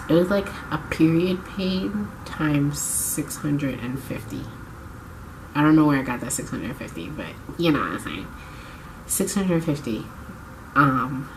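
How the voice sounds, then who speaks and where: A woman's voice: deep voice, slight background noise, low-quality recording. A young woman vlogging casually to camera, congested from a cold, chatty and rambling as she corrects herself. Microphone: camera mic.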